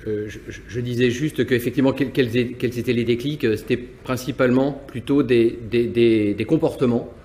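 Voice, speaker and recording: A man's voice: medium pitch, fairly even intonation, moderate pace, few pauses, dark tone, slight background noise. Hesitant male business owner. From France, Nantes. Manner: hesitant, many restarts and repeated words. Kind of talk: interview answers, personal reflection.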